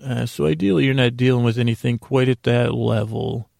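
Very gravelly, meandering man podcaster. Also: medium pitch, steady tone, a little mellow, no room sound, clean studio sound. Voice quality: gravelly, creaky. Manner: meandering, halting, stammering with repeated words; self-deprecating. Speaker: podcast host. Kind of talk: rambling bedtime podcast monologue.

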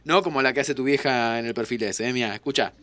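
A man's voice: mid-range voice, fast, a bit bright, dry recording, natural voice. An actor playing a joking, encouraging young man from an audio drama, with a Rioplatense Argentine accent and a teasing, colloquial way of talking. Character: joking, encouraging young man, dead friend visiting the future. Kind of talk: naturalistic audio drama acting.

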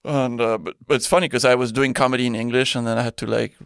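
Gravelly-voiced comedian chatting, laughing often. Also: male voice, mid-range voice, fairly even intonation, no room sound, studio quality. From born in Spain, grew up in Belgium. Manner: laughing often, halting restarts. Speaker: guest comedian. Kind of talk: casual chat.

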